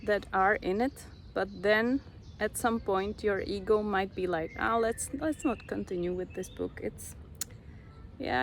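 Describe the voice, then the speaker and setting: A young Czech woman with a Czech accent, slowly sharing a personal spiritual story with many pauses. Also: mid-range voice, slight background noise. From Czech Republic. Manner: slow, reflective, pausing often. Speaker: vlogger.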